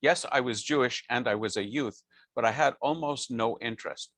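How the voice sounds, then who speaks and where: A man's voice: mid-range voice, moderate pace, fairly bright tone, no room sound. A man in his sixties, a rabbi, telling his own story with earnest, wry thoughtfulness on a video call. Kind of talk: personal storytelling on a panel. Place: home study with bookshelves. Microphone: video call with lapel mic.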